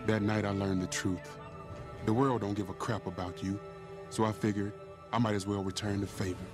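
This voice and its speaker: A man's voice: fairly low pitch, fairly even intonation, moderate pace, music in the background, natural voice. An actor playing a bitter, hardened male killer haunted by his past, narrating a video game cutscene in a brooding, coarse, confessional way. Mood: brooding, resolute.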